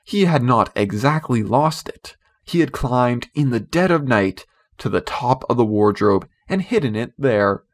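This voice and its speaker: Male audiobook reader, mid-range pitch, scripted narration of classic children's fiction. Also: expressive, unhurried, hardly any pauses.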